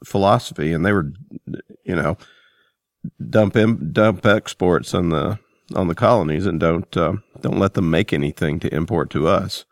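A man with a very low voice hosts a casual interview in a dry, close-sounding recording. He phrases his thoughts haltingly and thoughtfully. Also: dry recording. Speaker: podcast host.

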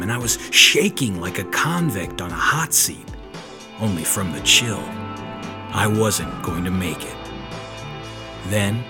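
A wisecracking American tough guy telling his own story in audio-drama noir style. His low voice is wry and hard-boiled, with clear music behind it. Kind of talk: noir first-person narration.

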